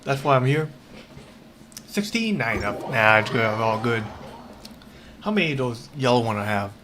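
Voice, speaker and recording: A man chatting casually on a livestream while he plays a game, rambling in a halting, repetitive way. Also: medium pitch, lively intonation, background noise. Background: game music.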